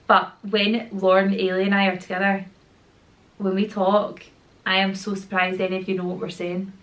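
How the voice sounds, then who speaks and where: A woman in her thirties with a Glaswegian Scottish accent talks through a casual question-and-answer chat at home. Her voice sits at an ordinary middle pitch, a little rough from a sore throat. She rambles happily and slips into slang. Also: level tone, normal speed, a bit bright, low-quality recording. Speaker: YouTuber and mum. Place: at home with children nearby.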